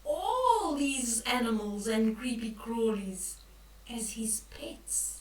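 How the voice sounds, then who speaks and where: Very slow-paced older woman in echoey room. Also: a little high, slight background noise. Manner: expressive, drawn-out emphasis, addressing children. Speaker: children's storytime host. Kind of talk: read-aloud bedtime story with counting and questions to young children. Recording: echoey room, distant from the mic.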